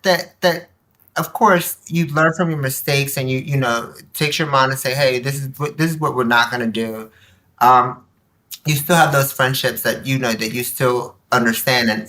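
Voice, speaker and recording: A woman speaks candidly as an interview guest, in a very bright, forceful voice. She is animated and emphatic as she tells personal stories, slipping into quoted remarks. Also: very deep voice. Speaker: podcast guest, nonprofit board member. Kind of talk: candid personal storytelling in conversation.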